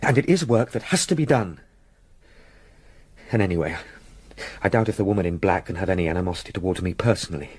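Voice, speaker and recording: Crisp, formal young English solicitor, slightly husky. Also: male voice, sits low, expressive, fast talker, long pauses, darkish tone, no room sound. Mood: firm, insistent. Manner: formal, articulate, precise Edwardian phrasing. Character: determined, polite young English solicitor, educated and stubbornly sceptical. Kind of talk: period radio drama acting.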